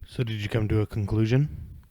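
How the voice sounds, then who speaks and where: Commanding male investigator for anime audio drama, acted by a man. Dark, close dry sound, calm authority. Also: lowish voice, a little fast, dry recording, natural voice.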